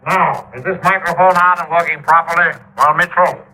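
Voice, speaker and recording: An actor playing a vain, overbearing radio actor who speaks in a grandiose, theatrical, scolding way, in a 1950s radio drama. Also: male voice, on the high side, steady tone, moderate pace, pushed and bright, roomy sound, rough recording. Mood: haughty. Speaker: actor in the broadcast.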